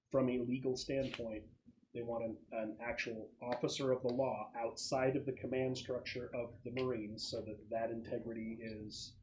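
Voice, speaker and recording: A man with a middle-pitched voice works as game master at a tabletop session. He explains the game in a halting, explanatory way. There is clear noise with some clicking behind him. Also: darkish tone, rough recording. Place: room at a gaming table with friends.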